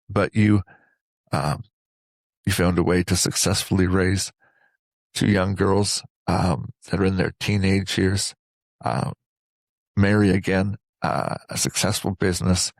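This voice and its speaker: A man hosting a reflective interview, speaking earnestly and deliberately in short phrases with pauses between them. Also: low voice, fairly even intonation, gravelly, no room sound, poor audio. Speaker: interview host.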